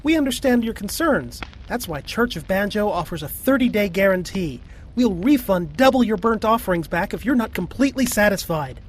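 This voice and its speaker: A woman voicing a cheeky, excitable, naive young male trickster with a slangy, mock-grand manner in a comic cartoon dub. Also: medium pitch, normal speed, hardly any pauses, slight background noise, close to an everyday voice. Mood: eager salesmanship. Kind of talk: animated comic fandub acting.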